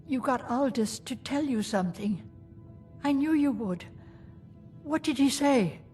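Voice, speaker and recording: A woman's voice: high-pitched, animated, a little slow, darkish tone, faint music behind. A woman playing a stoic, grieving mother in a fantasy game. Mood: expectant. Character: grieving, stoic mother in a war-torn town.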